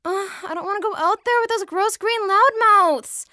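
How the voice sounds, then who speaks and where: A woman's voice: extremely high pitch, normal speed, pushed and bright, no echo, clean studio sound. A woman playing a vain, flippant young female shapeshifting alien in audio theatre, sassy and petulant in manner. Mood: defiant.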